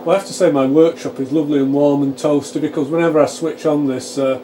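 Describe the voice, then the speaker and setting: A man's voice: fairly high pitch, fast talker. A man giving an informal technical demonstration in a workshop, thinking aloud and explaining step by step as he works. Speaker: presenter of a radio repair channel. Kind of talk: informal technical demonstration, narrated live while working. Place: small home electronics workshop. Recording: steady fan and equipment hum. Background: cooling fans of test equipment.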